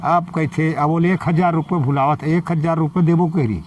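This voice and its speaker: A man with a Chhattisgarhi accent telling a folk story in short colloquial phrases, pausing for a listener to respond. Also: on the high side, darkish tone, a little hiss or hum. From Chhattisgarh, India. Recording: muffled, distant microphone.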